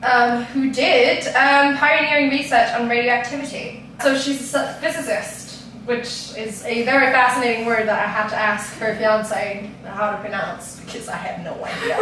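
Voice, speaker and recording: A woman's voice: fairly high pitch, a little slow, a bit bright, a little hiss or hum. A young woman from Denmark with a Danish accent, chatting casually and giggling as she hosts a vlog. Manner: giggly, chatty, self-mocking. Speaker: vlog host. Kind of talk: casual chat. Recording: echoey room, mic far off.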